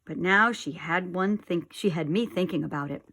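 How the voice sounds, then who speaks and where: A woman narrating a children's storybook like a teacher, with deliberate, expressive phrasing. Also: lowish voice. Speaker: teacher reading aloud to children. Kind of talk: storybook read-aloud narration.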